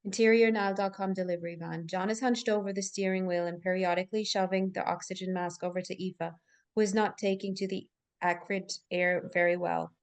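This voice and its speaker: A woman's voice: medium pitch, level tone, fairly quick. A woman reading scripted stage directions as narrator at a live table read, in full descriptive sentences.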